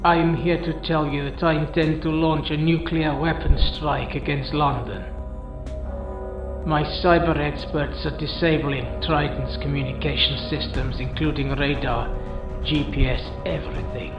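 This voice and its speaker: A man's voice: a little high, steady tone, moderate pace, close to an everyday voice. A man playing a grandiose, vengeful villain in a radio thriller, speaking in solemn, declamatory threats. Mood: cold threat. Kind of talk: radio thriller drama acting. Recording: echoey, dull sound under music.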